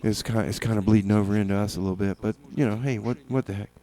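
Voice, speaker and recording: A man's voice: lowish voice, steady tone, warm tone. Rambling, hesitant male radio DJ. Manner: hesitant, rambling, halting with repeated words. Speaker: community radio music show host. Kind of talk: casual radio DJ chat with deadpan comedy. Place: small community radio studio.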